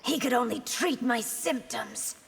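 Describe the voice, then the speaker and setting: A resolute game empress with a high voice and some rasp at the edges. Each line is slow, regal and commanding, ringing in a long reverb. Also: female voice, full character voice. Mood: stern, resolute. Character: proud, resolute empress hiding a feral affliction. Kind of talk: video game character acting. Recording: long hall reverb, music behind.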